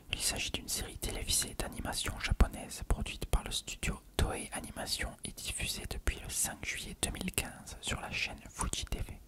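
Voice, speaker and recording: An ASMR host who speaks entirely in an unvoiced whisper. The delivery is measured and explanatory, like an encyclopedia article being read aloud for a listener. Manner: measured, explanatory, reading from text.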